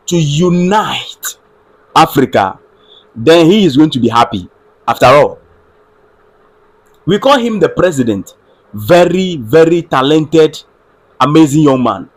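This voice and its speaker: A Ghanaian man hosts a solo live stream, talking through politics earnestly and circling back over his points. His voice is husky and sits a little high for a man. He stops now and then to ask viewers whether his sound is coming through. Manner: earnest, repeats phrases, checks on his audio with viewers. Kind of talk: solo livestream political commentary.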